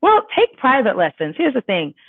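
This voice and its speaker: A woman's voice: sits high, wildly swooping pitch, moderate pace, creaky, slightly rough. Lady voice coach answering chat questions live, chatty and emphatic, thin phone-ish sound with no room echo. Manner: chatty and emphatic, many fillers and self-corrections. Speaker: vocal coach. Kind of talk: casual livestream Q&A, reading viewer questions aloud. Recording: tinny dry phone-like sound.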